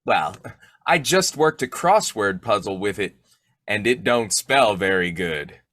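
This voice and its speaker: A man's voice: on the low side, lively intonation, measured pace, bright, forceful tone, dry recording, studio quality, exaggerated voice. A man acting a snoopy, folksy husband who cracks slangy jokes in an old-time radio comedy. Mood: curious, pleased. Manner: folksy, jokey, slangy delivery.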